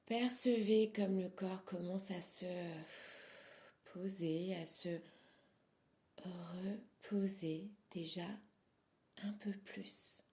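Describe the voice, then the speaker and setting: A woman leads a guided meditation at a very slow pace, with a medium-pitched voice. She speaks softly and soothingly, leaving pauses between short phrases. Some phrase endings fade into a breathy softness. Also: steady tone. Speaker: yoga nidra instructor.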